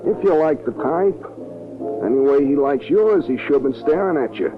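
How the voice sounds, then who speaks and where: A man's voice: a little high, animated, moderate pace, lightly acted. Slangy friendly bartender played by a man, tinny radio. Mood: friendly, curious. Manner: slangy, colloquial. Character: friendly, nosy male bartender, folksy confidant. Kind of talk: 1940s radio acting. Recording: tinny 1940s radio, loud music behind.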